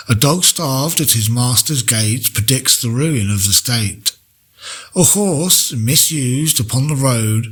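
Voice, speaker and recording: Slow, measured man reciting poetry. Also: mid-range voice, fairly bright tone. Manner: measured, deliberate phrasing with pauses. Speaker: poetry reader. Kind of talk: poetry recitation, read aloud.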